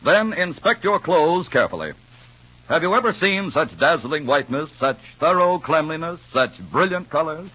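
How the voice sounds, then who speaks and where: An American man reading a vintage radio commercial with a slightly raspy voice. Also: on the high side, sing-song, normal speed, bright, forceful tone. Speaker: commercial announcer. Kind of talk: 1950s radio advertising read. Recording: dull vintage radio recording.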